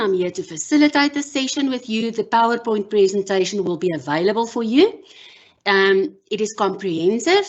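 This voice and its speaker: A woman whose first language is Afrikaans gives a measured online workshop as a university lecturer, with frequent pauses and ums. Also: medium pitch, highs cut off. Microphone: video call.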